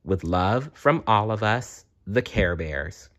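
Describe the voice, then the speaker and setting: A man reading a children's storybook aloud as a storyteller, deliberate and often clearing his throat. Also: lowish voice, expressive, fairly bright tone.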